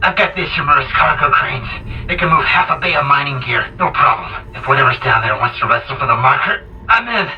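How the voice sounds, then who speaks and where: An actor playing a wounded, determined space engineer in a video game, sounding pained, exhausted and short of breath. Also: male voice, medium pitch, expressive, a little fast, natural voice. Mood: strained, urgent. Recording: lo-fi noisy radio transmission, distant.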